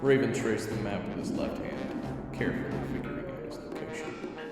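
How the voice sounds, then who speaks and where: A man's voice: on the low side, wildly swooping pitch, a little fast, heavy reverb, in a large hall, loud music behind the voice. A man reading scripted story narration as the narrator of an audio roleplay.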